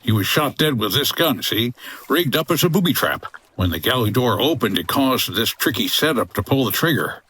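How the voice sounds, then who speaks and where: An actor playing a wry 1950s hotel owner and boat skipper in a noir comedy audio drama, dry and terse. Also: male voice, mid-range voice, sing-song, moderate pace, sharp tone, a little hiss or hum. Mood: hard-boiled. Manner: dry, hard-boiled, terse.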